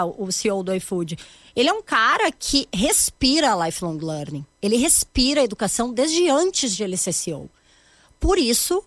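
A woman in her thirties with a Gaúcho Brazilian accent, speaking as an interview guest in an animated, emphatic way with frequent tag questions. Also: on the high side, dry recording. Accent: Gaúcho Brazilian Portuguese. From Rio Grande do Sul, Brazil. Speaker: guest, corporate education founder. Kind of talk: conversational interview.

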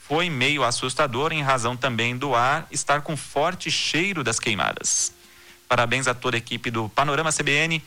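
A man hosting a news podcast from Brazil, reading the day's stories and listener messages in a steady, measured newsreader delivery. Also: medium pitch, faint music behind.

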